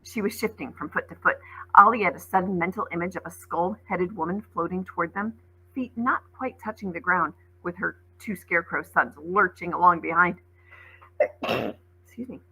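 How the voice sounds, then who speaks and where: A woman with a bright, forward voice that has a husky edge. She reads like a schoolteacher sharing a storybook, expressive and clear in her intent, explaining things plainly for young listeners. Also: on the low side, moderate pace, rough recording. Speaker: schoolteacher reading aloud. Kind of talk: expressive storybook read-aloud with asides.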